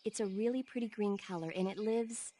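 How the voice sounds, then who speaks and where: A woman plays an earnest, easily exasperated female advisor briefing a soldier in a video game. Her voice sits at a middle pitch and explains things clearly and informatively, with faint noise behind it. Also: a little fast, warm tone, lightly acted.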